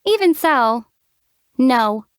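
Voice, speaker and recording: A woman voicing a calm young female traveler hero who teases in a deadpan way, in studio game voice acting. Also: high voice, extremely slow, clear, resonant tone, no echo, heavily acted. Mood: dry. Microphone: studio mic.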